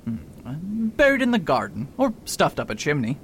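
Self-important scholar-doctor male actor for a radio whodunit, higher-than-average voice, baffled and disbelieving. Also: huge pitch swings, moderate pace. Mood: smug. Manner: pompous, incredulous. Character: pompous, baffled learned doctor, coldly overconfident sleuth. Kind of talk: radio mystery acting. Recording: faint rumbling background noise.

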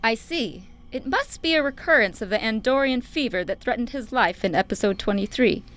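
A woman's voice: a little high, lively intonation, a little fast, a bit bright. A woman playing a competitive, combative starship doctor in a parody radio play.

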